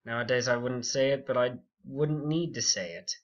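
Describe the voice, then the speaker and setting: A young man telling a story casually and unscripted, rambling and halting, with frequent asides. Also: medium pitch. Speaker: YouTube storyteller. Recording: dull, muffled lo-fi audio.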